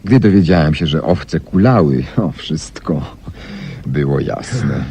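An actor playing a brilliant, self-assured detective, explaining deductions theatrically with dramatic pauses in a radio drama. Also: male voice, a bass voice, animated, mellow, heavily acted. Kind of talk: 1970s Polish radio drama acting. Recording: noisy 1970s radio archive recording.

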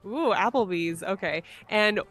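A woman's voice: medium pitch, animated, measured pace, fairly bright tone, faint music behind. A woman hosting a podcast, moving between scripted introduction and casual chat. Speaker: podcast host.

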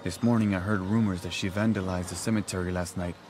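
A man's voice: deep voice, fairly even intonation, fast talker, a little mellow, soft background music, close to an everyday voice. An actor playing a worried, earnest field researcher in his thirties in a video game cutscene. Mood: worried, regretful.

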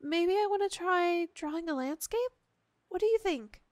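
A woman's voice: very high-pitched, animated, normal speed, dry recording, clean studio sound. A woman playing a clingy, insecure, devoted young yandere girlfriend in an ASMR roleplay, hesitant and gushing. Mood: happy, adoring. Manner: gushing, hesitant, breaking off and restarting.

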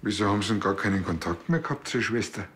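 A man's voice: on the low side, quick pace, a bit bright, lightly acted. An actor playing a blunt, nosy village policeman with a Bavarian accent, talking dryly and colloquially. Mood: dry, probing.

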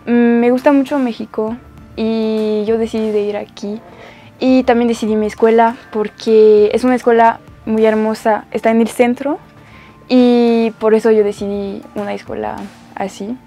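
A woman's voice: on the high side, level tone, normal speed, warm tone, faint music behind. A young woman from France with a French accent, an exchange student telling her story in simple, searching phrasing. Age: young adult. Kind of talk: interview testimony. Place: studio set. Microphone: lapel.

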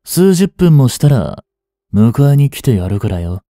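Brash young male delinquent played by a man for audio drama: dark, mellow tone, swinging intonation, defiant and teasing. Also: mid-range voice, very animated, a little slow, no room sound, studio quality. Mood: teasing, smug. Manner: brash, slangy tough-guy talk, drawling. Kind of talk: Japanese audio drama acting, one-sided dialogue to the listener.

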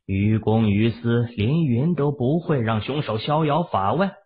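Expressive male narrator, husky and phone-like. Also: medium pitch, unhurried. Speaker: audiobook narrator. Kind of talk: expressive scripted novel narration. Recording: muffled, phone-like narrow sound.

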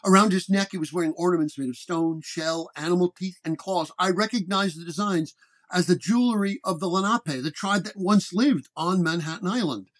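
A man narrating a first-person detective horror story in a dramatic storytelling manner. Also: sits high. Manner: storytelling, acting out dialogue.

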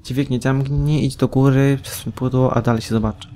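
A man casually chatting while playing a game with a friend, teasing and saying "lol" out loud. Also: mid-range voice, steady tone, fairly quick, slight background noise. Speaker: co-player. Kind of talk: casual let's-play chat.

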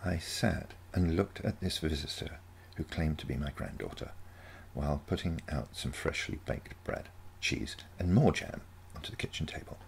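Male story narrator, low and gravelly, for first-person fiction, faint noise behind. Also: lively intonation, moderate pace, low-quality recording. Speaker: story reader.

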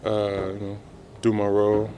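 Low flat-voiced guy at a postgame press scrum, slow halting answers, lots of um and you know. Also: slight background noise. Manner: halting, many fillers, subdued and measured. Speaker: basketball player at a postgame press conference. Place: arena press room, indoors. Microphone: reporters' handheld mics held close.

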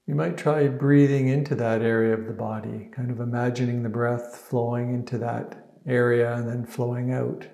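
A man leading a guided meditation as a teacher, speaking in an unhurried, soothing way with gentle pauses. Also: mid-range voice, little pitch movement, creaky. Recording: echoey, roomy sound.

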